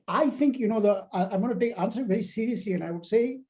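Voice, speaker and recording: A man's voice: sits high, quick pace, a little mellow. An older man speaking as a guest lecturer, answering a question in a discursive, emphatic academic manner and illustrating points with anecdotes.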